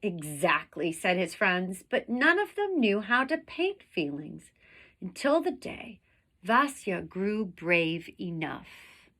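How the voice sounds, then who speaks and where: A woman reading a picture book aloud like a teacher, with deliberate, dramatic phrasing that leans on the vivid words. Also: medium pitch, big pitch swings, fairly bright tone. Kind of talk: expressive storybook read-aloud.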